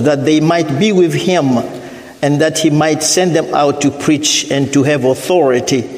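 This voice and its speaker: A middle-aged man from Africa with a French accent, preaching a sermon as a guest missionary, deliberate and repeating his points. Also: darkish tone. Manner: deliberate, repeats phrases, rises in pitch when preaching. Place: church sanctuary. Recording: echoey church hall.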